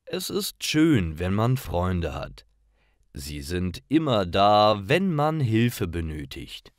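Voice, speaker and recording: Very deep, slow male narrator. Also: big pitch swings, dry recording, studio quality. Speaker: narrator of stories for language learners. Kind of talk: scripted narration, slow read-aloud learner stories.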